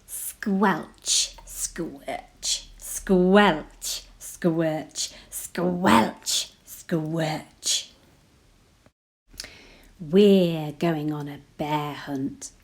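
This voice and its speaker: Woman teacher reading a picture book to kids: very slow, lowish voice, playful, whispers sound words. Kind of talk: expressive read-aloud storytelling for children.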